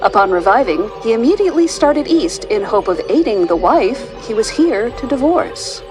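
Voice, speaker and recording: A woman's voice: a little high, very animated, moderate pace, music in the background, poor audio. A woman playing a serious news reader giving a formal scripted report in a radio drama. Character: woman news reader relaying a panic story.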